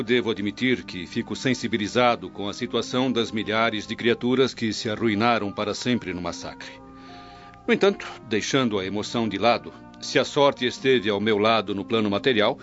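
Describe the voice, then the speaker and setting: Earnest actor as prosperous Roman, muffled sound. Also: male voice, fairly low pitch, close to an everyday voice. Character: prosperous Roman man, proud, troubled, traditional. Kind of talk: radio drama acting. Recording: muffled radio drama with background music.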